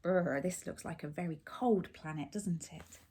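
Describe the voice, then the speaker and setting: A woman's voice: on the low side, a little slow, heavy background noise. A woman reading a picture book aloud expressively, putting simple questions and explanations to young children. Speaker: children's story reader.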